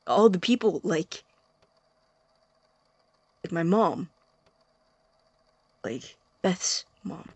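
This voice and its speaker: An intense young science student played by a woman in an audio drama monologue, convinced of being right. The voice is lowish and husky, breaking off and restarting anxiously. It is recorded close and dry. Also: animated, darkish tone, dry recording, exaggerated voice. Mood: fervent, earnest. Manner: halting, self-interrupting, intense.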